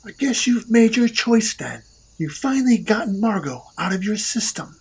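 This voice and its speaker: A performer playing an imperious, possessive supernatural boss in an audio drama, mocking and haughty, with a husky voice. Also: medium pitch, sing-song, normal speed, darkish tone, full character voice. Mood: sardonic.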